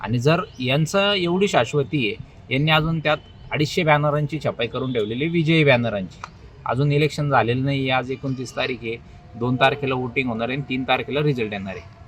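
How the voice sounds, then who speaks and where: A man's voice: medium pitch, fairly bright tone, a little hiss or hum. A man with a Maharashtrian accent, speaking informally as an election candidate. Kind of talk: informal phone call and spoken public statement.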